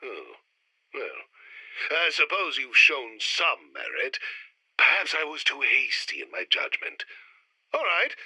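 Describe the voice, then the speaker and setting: A theatrical mad-scientist villain of a retro radio serial, pompous and easily irritated. The voice is raspy and piercingly bright, with wildly swinging pitch and bombastic, melodramatic delivery. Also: male voice, wildly swooping pitch, slow, long pauses, dry recording, exaggerated voice, poor audio. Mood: grudging. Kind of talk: retro sci-fi radio play acting.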